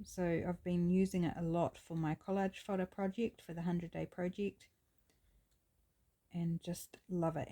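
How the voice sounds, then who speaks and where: A woman with a New Zealand accent and a scratchy voice, chatting casually while she works on a craft project. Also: fairly low pitch. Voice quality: gravelly, scratchy. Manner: chatty, relaxed, thinking aloud. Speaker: craft channel host.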